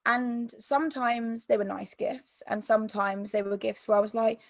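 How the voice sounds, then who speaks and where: A woman with a croaky voice telling her personal story as an interview guest, hesitant, with many ums and restarts. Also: fairly high pitch, fairly even intonation, moderate pace, no room sound, clean studio sound. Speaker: interview guest, mental health YouTuber.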